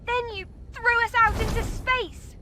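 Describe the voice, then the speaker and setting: An actress playing an excitable young female companion, protesting emphatically in a video game cutscene. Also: very high-pitched, a little slow, heavy background noise. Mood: outraged, alarmed.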